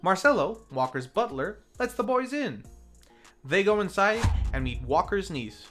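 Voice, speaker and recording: Film recap narrator, scripted, lively intonation, wry asides, faint background music. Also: medium pitch, measured pace. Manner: wry tongue-in-cheek asides. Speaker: video reviewer.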